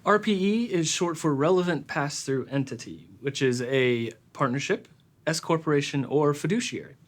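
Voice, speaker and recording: An actor playing a brisk, matter-of-fact male accountant who corrects and explains. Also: a little high, animated, lightly acted.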